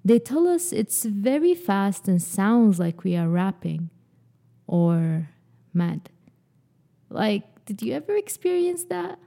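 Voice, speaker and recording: A young woman from Sudan hosts a podcast conversation. Her voice is very dark and mellow, and she reads prepared lines at a mid pitch in an earnest, personal manner. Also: big pitch swings, takes it slowly, gravelly. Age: young adult. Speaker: podcast host.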